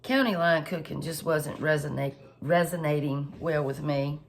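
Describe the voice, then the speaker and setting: A woman, a little hoarse and stuffed up, chatting homily through a cooking tutorial for her viewers. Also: low-pitched, normal speed, slight background noise. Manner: homey, chatty, lots of fillers, addresses viewers directly. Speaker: home cook channel host.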